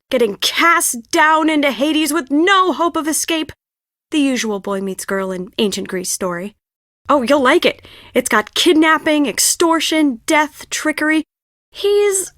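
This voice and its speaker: Young woman stage director, high voice, dry close sound, theatrical, confiding, stressed, adventure game dialogue. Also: lively intonation, moderate pace, a bit bright, no echo. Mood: brisk, friendly. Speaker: video game voice actor.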